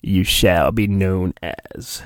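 A man voicing a commanding dark master from a storybook in a portentous, drawn-out way. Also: deep voice, dry recording, clean studio sound, voice pushed down. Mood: solemn, commanding.

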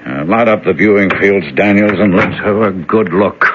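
A man's voice: lowish voice, normal speed, music in the background, natural voice. A man playing a brusque, skeptical doctor. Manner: curt, sarcastic, commanding. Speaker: senior hospital doctor. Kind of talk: 1970s radio drama acting. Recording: dull lo-fi vintage radio recording.

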